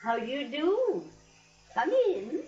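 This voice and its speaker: An actress playing a sweet, serene elderly spinster in a 1940s radio comedy, genteel and matter-of-fact. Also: female voice, high-pitched, very animated, takes it slowly, darkish tone, heavily acted. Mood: gracious, cheerful. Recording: dull vintage radio recording.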